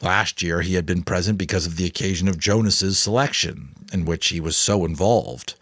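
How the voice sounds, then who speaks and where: A man's voice: sits low, moderate pace, fairly bright tone, no room sound, studio quality. A man narrating an audiobook in a dramatic reading, with some casual commentary.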